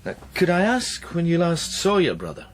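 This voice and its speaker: An actor playing a reserved psychic detective in a radio drama, with a Scottish accent and a polite, tentative, probing manner. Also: male voice, on the high side, wildly swooping pitch, moderate pace. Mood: gentle, probing. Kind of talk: naturalistic radio drama acting with narration.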